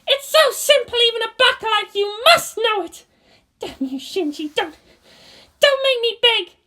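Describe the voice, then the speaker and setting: A woman voicing an anguished, defiant teenage girl pilot in an audio story, bitter and forceful, her voice breaking with emotion. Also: very high voice, lively intonation, a little slow, voice pushed up, exaggerated voice. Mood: anguished fury. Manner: forceful, emotional, pleading outbursts. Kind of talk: dramatic fan fiction reading.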